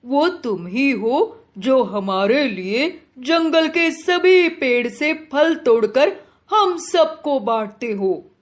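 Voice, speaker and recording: A woman voicing a stern but fair lion king in a children's moral story, speaking firmly and deliberately. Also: high-pitched, expressive, full character voice. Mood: kind, persuading. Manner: firm, admonishing, deliberate.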